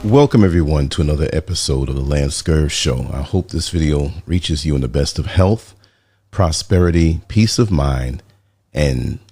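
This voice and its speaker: A man hosting his own show, reading a news article aloud in a sleepy, low-key voice with dry sarcastic asides. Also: mellow, husky, no room sound. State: just-woken sleepy voice. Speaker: podcast host.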